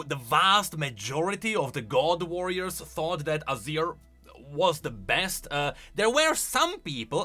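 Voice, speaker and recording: A man gives casual commentary as host of a game lore video. His voice sits fairly high and moves around a lot, with an emphatic, conversational delivery. Light music plays underneath. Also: pushed and bright.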